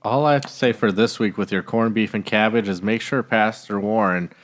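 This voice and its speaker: American male podcast host, slightly low voice, casual banter. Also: fairly quick. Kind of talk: casual chat.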